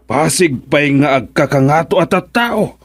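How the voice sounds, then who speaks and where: Boastful Filipino schemer, wildly swooping voice. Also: male voice, a little high, animated, a little slow, slightly rough, no echo, full character voice. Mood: boastful, irritated. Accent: Ilocano Filipino. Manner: boastful, cajoling, exclamatory. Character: money-obsessed scheming village husband, boastful gambler, sly tempter. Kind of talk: Ilocano radio comedy drama acting.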